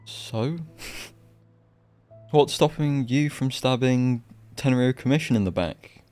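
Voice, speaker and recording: A man's voice: medium pitch, slow pace, a little mellow. A man giving casual livestream game commentary, blunt and exasperated, with a sweary, ranting manner. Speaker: game streamer. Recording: game music behind voice.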